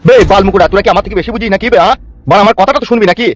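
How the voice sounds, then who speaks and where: Man playing a fast-talking, bullying village politician. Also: high voice, steady tone, dry recording. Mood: bullying, impatient. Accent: rural West Bengal Bengali. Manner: brusque, domineering, coaxing. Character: lecherous, bullying male village politician, foul-mouthed and scheming. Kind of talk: crude comic cartoon dialogue. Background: background music.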